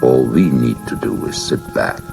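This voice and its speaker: An extremely low, gravelly alien invader, performed by a male reader, cold and patient. He lays things out with measured superiority over loud music, as in a dramatic audiobook. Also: heavily acted. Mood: cold, calculating.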